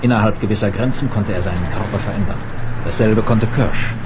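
An actor playing a grave, authoritative space leader and narrator in a German radio drama, in standard German. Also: male voice, lowish voice, a little fast, over loud music, lightly acted. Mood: grave, narrating. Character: commanding space administrator hero, grave and authoritative. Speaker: lead character and first-person narrator.

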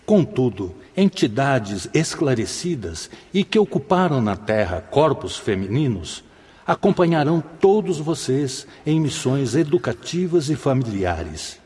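A man's voice: mid-range voice, big pitch swings, measured pace, mellow, breathy edge. An actor playing a fatherly, solemn spirit mentor who teaches formally and measuredly in a radio drama. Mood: solemn, instructive. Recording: long reverb, music behind.

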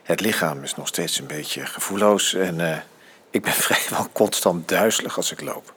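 An actor playing a frail, broken older father in a radio drama, speaking haltingly and stammering through broken-off phrases. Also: sits low, a bit bright, slightly rough. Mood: reassuring, uneasy. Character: frail, broken, big older father, estranged from his son. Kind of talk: naturalistic radio drama acting.

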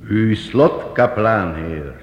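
An actor in a radio play, playing a sly, flattering master of travelling musicians with theatrical salesman's patter. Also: lowish voice, extremely slow, lo-fi sound. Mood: wheedling, flattering. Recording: echoey hall, noisy old radio recording.

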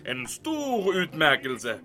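Giddy, pompous major, wildly swooping pitch. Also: male voice, huge pitch swings, pushed and bright, soft background music, exaggerated voice. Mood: smug, delighted. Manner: pompous, gloating, talks to himself, giggly. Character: vain, giddy German army major, pompous and easily excited. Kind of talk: audio drama monologue.

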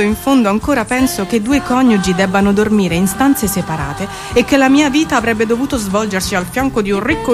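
A woman giving an expressive, measured theatrical spoken-word reading with dramatic pauses. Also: medium pitch. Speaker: performer reading her own poetic prose. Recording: music behind the voice. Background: music.

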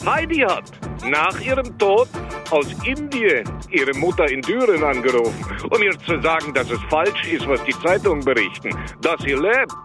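An actor playing a menacing, sarcastic ex-KGB businessman in a radio drama, mock-pleasant and deliberately threatening. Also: on the high side, big pitch swings, over music. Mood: sarcastic fury. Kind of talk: modern radio drama acting.

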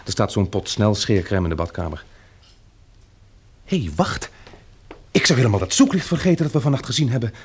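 Radio drama lead: measured, pragmatic survivor, slightly low and animated. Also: male voice, a little hiss or hum. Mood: casual, practical. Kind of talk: radio drama dialogue and narration.

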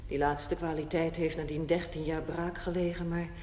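An actress playing a proud, middle-aged woman with a hard past in a television drama, telling her story bluntly and deliberately. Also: low-pitched, level tone, darkish tone, close to an everyday voice. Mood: proud, wry. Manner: blunt, matter-of-fact, deliberate. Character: frank, proud 45-year-old former prostitute telling her life. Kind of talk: theatrical monologue in television drama. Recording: echoey, faintly noisy 1970s TV recording.

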